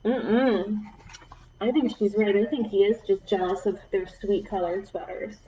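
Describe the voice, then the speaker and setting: A woman's voice: mid-range voice, fairly even intonation, unhurried, dull audio, a little hiss or hum. A woman children's librarian reading aloud to preschoolers, with encouraging asides to the little listeners. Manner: encouraging, addressing small children.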